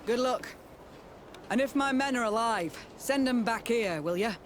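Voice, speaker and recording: A man's voice: extremely high pitch, unhurried, background noise. A man playing a weary, embittered military captain in a video game cutscene, blunt and sardonic, loyal to his soldiers. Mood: resigned.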